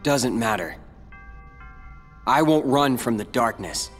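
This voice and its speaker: An actor playing a calm, determined teenage boy hero in a video game, with a dry, brooding way of talking. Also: medium pitch, slow pace. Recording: game audio with reverb and loud music.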